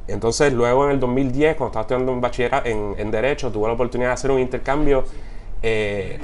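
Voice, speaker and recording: A Puerto Rican man hosts a relaxed conversation, wandering through his points with plenty of fillers and false starts. His voice sits at an ordinary middle pitch. A faint hiss runs under the recording. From Puerto Rico. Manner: rambling, many fillers and restarts. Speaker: podcast host. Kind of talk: casual interview. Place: indoors on a sofa in Barcelona.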